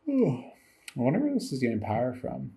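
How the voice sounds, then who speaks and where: A man's voice: mid-range voice, huge pitch swings, fairly quick, vocal fry, warm tone, breathy edge. A tired man giving rambling, casual commentary over game footage, musing aloud as he goes and swearing casually.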